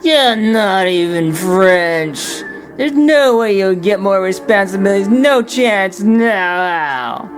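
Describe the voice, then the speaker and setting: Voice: medium pitch, steady tone, normal speed, hardly any pauses, a bit bright, soft background music, pitched above the performer's own voice, lightly acted. Reader putting on a sneering French pirate captain. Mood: scornful. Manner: mocking, dismissive.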